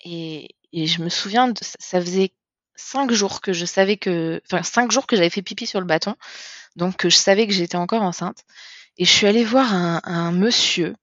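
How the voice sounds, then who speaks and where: A woman's voice: lowish voice, fairly bright tone, no room sound. Candid woman telling a story, dry dull sound. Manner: candid, halting, many restarts. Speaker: guest. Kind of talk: personal storytelling in conversation.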